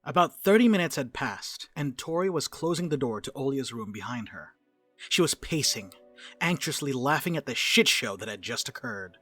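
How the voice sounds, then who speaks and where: A man narrates a drama script in a mid-pitched voice. His delivery rises and falls in a lively way, with soft music running underneath. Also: normal speed, no room sound.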